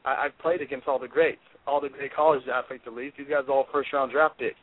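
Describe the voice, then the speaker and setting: A man's voice: medium pitch, fairly even intonation, quick pace, slightly rough, no echo. A man in his twenties, a football player being interviewed, talking in an intense, confident, driven way over the phone. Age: 20s. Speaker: football player, draft prospect guest. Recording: dry tinny phone line.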